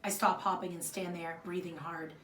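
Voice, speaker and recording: An expressive woman reads a children's storybook aloud in the manner of a primary school teacher. The sound is roomy and a little distant from the mic, with faint noise behind her. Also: lowish voice, moderate pace. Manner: expressive, acts out the dialogue. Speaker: fourth grade teacher reading aloud.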